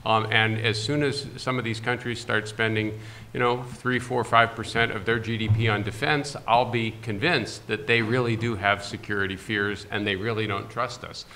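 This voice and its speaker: A man's voice: on the low side, fairly even intonation, normal speed, pushed and bright, slight background noise. A man on a discussion panel, answering a question bluntly and argumentatively.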